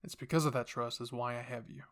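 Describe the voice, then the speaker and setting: A man voicing an older royal father in an anime roleplay drama, calm, commanding and measured, with an authoritative explaining manner. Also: mid-range voice, lightly acted. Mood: stern, controlled.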